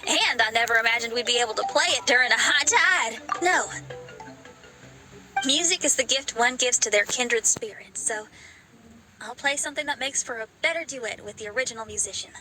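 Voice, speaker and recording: A young rock musician in a video game, passionate and confident. Her voice is high, with music playing clearly behind it. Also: normal speed, sharp tone, poor audio. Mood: heartfelt, confident. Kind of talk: English video game voice acting.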